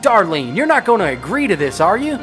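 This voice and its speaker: A woman acts out a tender, supportive lover in an audio drama. The voice is gentle and concerned, with swooping intonation over faint music. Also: mid-range voice, very animated, a little fast, close to an everyday voice. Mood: dismayed.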